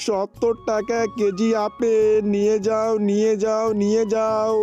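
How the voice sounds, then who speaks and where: A man's voice: high voice, monotone, measured pace, warm tone, muffled recording, soft background music. A man playing an earnest, poor village father who sells fruit, in a children's cartoon, speaking in simple repeated phrases. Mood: hawking. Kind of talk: amateur Bengali cartoon dialogue.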